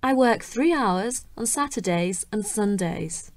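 A woman announcing listening exercises and reading a scripted self-introduction in a slow, deliberate way. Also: medium pitch, expressive. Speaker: exercise announcer and dialogue reader. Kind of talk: scripted textbook narration.

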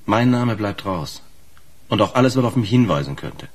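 Gruff trucker in a crime radio play, husky, really swingy pitch, blunt slang. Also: male voice, lively intonation, normal speed. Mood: guarded. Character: gruff male truck driver, slangy, wary informant. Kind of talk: naturalistic German radio crime drama.

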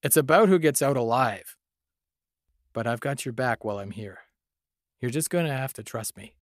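A man playing an adventurous, cynical but loyal video game hero, speaking in a deliberate, conversational way. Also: medium pitch, animated, dry recording, clean studio sound, lightly acted. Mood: earnest. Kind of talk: video game character voice acting demo. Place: dry home studio. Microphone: close studio condenser.

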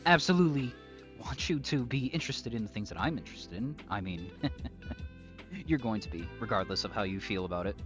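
A man acting a slow-talking, menacing yandere captor. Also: medium pitch, muffled recording. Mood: coaxing, smug. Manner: drawn-out, taunting, swinging between soft whispers and sharp outbursts. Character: possessive, menacing psychopath captor lover, mockingly sweet young man. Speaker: audio roleplay performer. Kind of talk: improvised intimate audio roleplay drama.

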